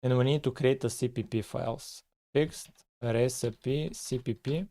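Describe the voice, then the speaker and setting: Male streamer, laid-back, mid-pitched, soft dark voice. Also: a little slow. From Bulgaria. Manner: relaxed, thinking aloud with frequent "uh". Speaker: live-streaming game developer. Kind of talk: casual live coding stream with chat.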